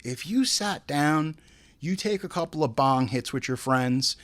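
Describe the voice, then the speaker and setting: Animated man ranting through a casual review. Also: fairly high pitch, measured pace. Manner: profane, emphatic, exasperated ranting with slangy asides. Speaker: host of a movie review show. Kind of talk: casual comedic review rant.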